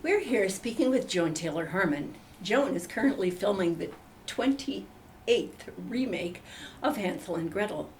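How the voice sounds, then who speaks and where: A woman's voice: medium pitch, animated, a little slow, slight background noise, close to an everyday voice. A woman playing a polite, sympathetic interviewer in a scripted comedy mock news report. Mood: polite, professional.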